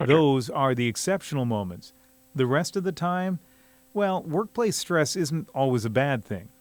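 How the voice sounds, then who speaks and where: A man's voice: a little high, animated, a little slow, slight background noise. A man with a Canadian accent working as a TV news reporter, delivering scripted narration and interview questions.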